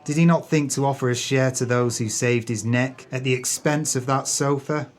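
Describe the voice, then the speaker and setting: A man's voice: medium pitch, fairly even intonation, normal speed, lightly acted. An actor playing a jealous, callous male swindler in an audio drama, sneering and self-pitying with grandiose, wordy speech. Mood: resentful, bitter.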